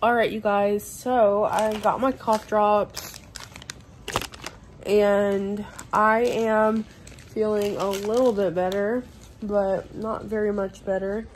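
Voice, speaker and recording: Hoarse sick young woman vlogging. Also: little pitch movement, takes it slowly, soft background music. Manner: tired, low-energy, chatty. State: sick, lost voice, congested. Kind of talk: casual vlog chat. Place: at home indoors.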